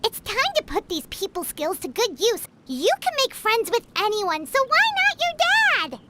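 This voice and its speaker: A squeaky, chirpy little companion. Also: female voice, big pitch swings, normal speed, sharp tone, a little hiss or hum, exaggerated voice. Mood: urging. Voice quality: high, squeaky. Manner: chirpy and teasing. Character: small floating girl companion, chatty and eager. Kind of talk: game voice acting. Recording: clean studio voice with game music.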